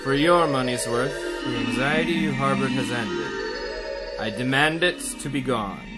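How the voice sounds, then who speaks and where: Actor as a scheming hypnotist, mid-pitched, commanding. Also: male voice, expressive, over loud music, lightly acted. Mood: commanding, sinister. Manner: deliberate, soothing, commanding, theatrical. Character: smooth-talking, scheming male hypnotist therapist, vain and commanding. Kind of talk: radio mystery drama acting.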